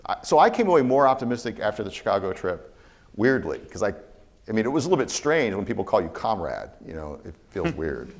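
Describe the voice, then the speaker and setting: A man's voice: lowish voice, very animated, brisk. Hesitant man musing on audience question. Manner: rambling, hesitant, frank. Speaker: economist speaker.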